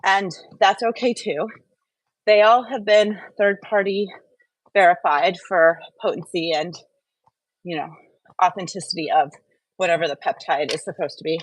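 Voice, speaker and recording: Casual female host, slightly low pitch, direct and talkative, mid-workout livestream on earbuds, dry sound. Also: steady tone, moderate pace, dry recording, poor audio. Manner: chatty, frank, addresses viewers directly. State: warming up from exercise. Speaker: wellness channel host. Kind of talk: casual livestream talk while walking on a treadmill. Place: indoors at home on a treadmill.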